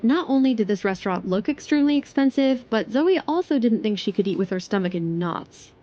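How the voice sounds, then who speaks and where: A woman's voice: medium pitch, animated, a little fast, mellow, lo-fi sound. A woman narrator reading a scripted third-person romance story for an audio drama.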